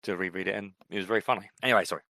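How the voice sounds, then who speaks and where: Male podcast co-host in his thirties; low, forceful voice, reflective and rambling. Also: rapid-fire, no room sound, studio quality. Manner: reflective, rambling with many fillers and restarts. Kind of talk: casual chat.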